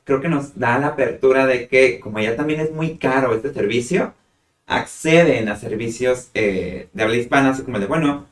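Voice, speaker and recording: A man's voice: medium pitch, moderate pace, far from the mic. A man from Tijuana with a northern Mexican accent, a psychologist answering interview questions thoughtfully and explaining with examples. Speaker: psychologist guest. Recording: roomy echo, set back from mic.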